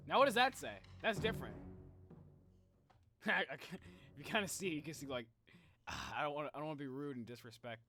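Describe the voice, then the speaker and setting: A young man giving casual, excitable live reaction commentary, exclaiming and thinking aloud. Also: fairly high pitch, normal speed, pauses often, faint music behind. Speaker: reaction video host.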